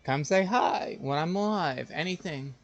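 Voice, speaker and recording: A man chatting casually as a live game streamer, rambling and halting, often repeating himself. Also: fairly high pitch, no echo, lo-fi sound, soft background music.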